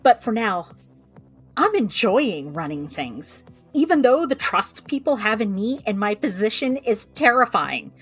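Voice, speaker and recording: Woman acting a young american healer in a fantasy audio drama, chatty and wry, slightly husky, voice swings all over. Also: a little high, sing-song, measured pace, faint music behind, full character voice. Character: lively young woman healer running a magical family clinic. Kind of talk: audio drama, recording a personal log.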